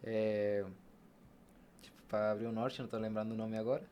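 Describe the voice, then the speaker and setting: A man's voice: on the low side, level tone, fairly quick, mellow, slight background noise. A young adult man with a Brazilian Portuguese accent, a podcast guest telling personal stories casually and acting out what was said. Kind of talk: casual personal storytelling in an interview.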